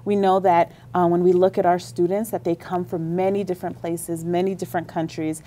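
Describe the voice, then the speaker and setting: A woman's voice: on the low side, level tone, a little fast, dark tone, slight background noise. A woman on a radio interview, explaining at length with enthusiasm about library services. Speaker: school district library leader.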